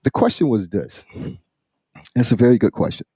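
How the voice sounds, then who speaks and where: A man hosting a casual podcast chat, halting and repeating phrases as he builds up his questions in an animated way. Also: mid-range voice, husky, no echo. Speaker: podcast host posing a question.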